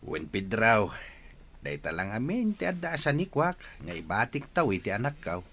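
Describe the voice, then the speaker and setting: An older Ilocano farmer in a radio play, humble yet stubborn. His low voice has a bright, forceful edge and swings widely as he talks. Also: huge pitch swings, moderate pace, full character voice. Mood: apologetic, firm. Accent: Filipino, Ilocano. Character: humble older farmer who loves his land, stubborn but polite. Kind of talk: Ilocano radio comedy-drama acting.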